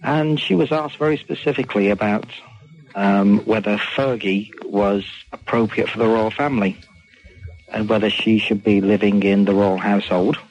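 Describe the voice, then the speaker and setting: A man calling talk radio, giving his opinion in a measured, halting, careful way. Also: on the low side, fairly even intonation. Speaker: phone-in caller. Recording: dull phone call on radio.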